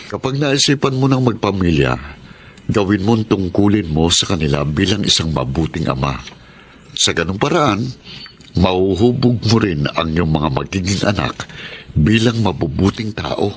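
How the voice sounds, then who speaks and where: An actor playing an earnest middle-aged Filipino father who gives gentle, measured counsel in a radio drama. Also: low-pitched, expressive, slight background noise. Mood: fatherly advice. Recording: music bed under the voice. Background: dramatic background music.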